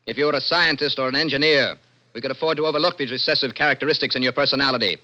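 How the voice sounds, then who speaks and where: An actor with an American accent playing a cold, formal young lieutenant judge of a dystopian court, in 1960s radio drama style. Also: male voice, mid-range voice, very fast, bright, forceful tone. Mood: cold, unbending. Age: young adult. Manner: cold, formal, clipped pronouncements. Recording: dull old-time radio recording.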